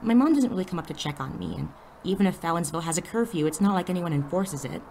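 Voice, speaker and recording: A woman's voice: on the low side, expressive, fast talker, darkish tone, a little hiss or hum. A woman playing a wary, sarcastic teenage schoolgirl pulled into superhero business, blunt and skeptical, in an audio drama. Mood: wry narrating. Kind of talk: audio drama acting with first-person narration.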